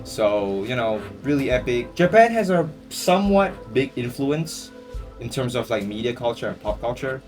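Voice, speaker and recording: A man's voice: medium pitch, expressive, normal speed, a little mellow. Chatty young guy over guitar music. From Singapore. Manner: chatty and joking, with lots of fillers and slang. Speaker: YouTuber answering viewer questions. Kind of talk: casual Q&A chat.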